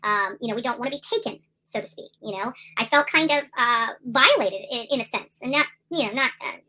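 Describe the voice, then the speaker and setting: A middle-aged woman hosts a casual livestream, speaking fast in a rambling, emphatic way. Her voice sits a little high and comes across bright and forceful, full of fillers and restarts. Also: lively intonation, rough recording. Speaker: YouTube commentary host.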